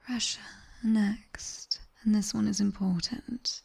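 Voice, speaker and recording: A British woman playing a sharp-tongued, teasing nightmare demon tutor in an ASMR roleplay, whispering breathily and intimately close to a binaural mic. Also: mid-range voice, steady tone, creaky, husky, heavily acted. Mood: soothing, instructive. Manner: slow, intimate, teasing, commanding. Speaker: ASMR performer. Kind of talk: whispered ASMR roleplay, guided body scan. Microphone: close binaural ear microphone.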